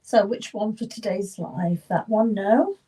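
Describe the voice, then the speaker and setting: A middle-aged woman hosting a live psychic reading session, chatting gently with viewers by name, her voice still a little croaky and at times falling to a whisper. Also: mid-range voice, a little slow, rough recording. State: slightly croaky voice. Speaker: psychic medium.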